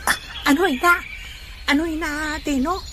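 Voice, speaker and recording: A woman's voice: high voice, steady tone, normal speed, bright, forceful tone, slight background noise. A woman playing an eager, comical uncle in an audio drama, with a Filipino accent and an exclamatory, repetitive delivery. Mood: eager, curious. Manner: exclamatory, repeats phrases.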